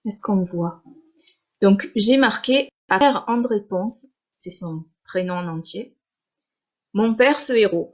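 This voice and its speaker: A middle-aged woman born in Armenia, an author chatting on a live stream, speaking with many pauses, fillers and restarts. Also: medium pitch. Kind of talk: casual live-stream interview.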